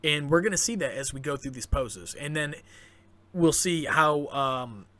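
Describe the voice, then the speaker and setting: A man's voice: fairly high pitch, lively intonation, unhurried, pushed and bright. A man hosting a fitness channel, giving chatty, enthusiastic commentary straight to his audience with plenty of fillers and restarts, his voice turning a little scratchy. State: voice going scratchy. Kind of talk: casual commentary to camera.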